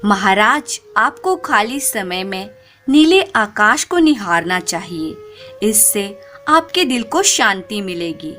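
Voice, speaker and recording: A woman as a deferential, slow-spoken royal courtier. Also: mid-range voice, sing-song, sharp tone, faint music behind, exaggerated voice. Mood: respectful, advising. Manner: respectful, earnest, persuasive. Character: deferential male royal courtier offering earnest advice.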